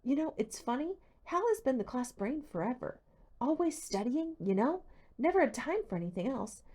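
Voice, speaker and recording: A woman's voice: sits high, sing-song, moderate pace, a little mellow, pitched above the performer's own voice. Woman playing a chatty, lilting teenage schoolgirl. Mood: gossipy. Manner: gossipy, casual.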